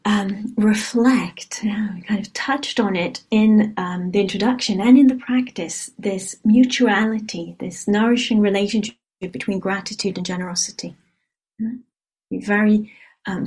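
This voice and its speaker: A woman whose mother tongue is Hebrew guides a reflection after meditation in a retreat hall. Her voice is mellow and breathy. She speaks softly and without hurry, and often ends a thought with a quiet "yeah?". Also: mid-range voice. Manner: soft, unhurried, often adds a questioning "yeah?". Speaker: meditation teacher.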